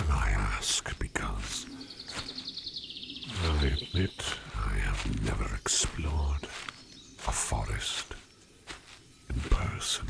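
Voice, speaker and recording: A man playing a courteous, curious sleep demon in disguise, speaking in a breathy, gravelly half-whisper with formal, halting phrasing for an ASMR roleplay. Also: extremely low pitch, full character voice. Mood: serene, wistful. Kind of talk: ASMR roleplay, personal attention.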